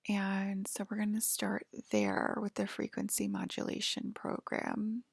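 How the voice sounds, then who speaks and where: A woman in a soft-spoken, whispered ASMR roleplay, breathy and halting, explaining things in a hushed, soothing, clerk-like way. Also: medium pitch, monotone, no room sound, studio quality.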